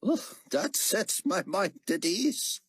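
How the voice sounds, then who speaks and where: A man's voice: very high-pitched, very animated, takes it slowly, creaky, breathy edge, no echo, studio quality, pitched above the performer's own voice, heavily acted. A man narrating an audiobook voices a nervous older male doctor who speaks stiffly and formally.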